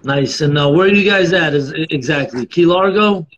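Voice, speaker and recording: A man's voice: a little high, moderate pace, a bit bright. A man in his thirties hosting a casual group video chat, with slangy, laid-back bro talk. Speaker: live broadcast host. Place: small home room. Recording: dull lo-fi video call audio.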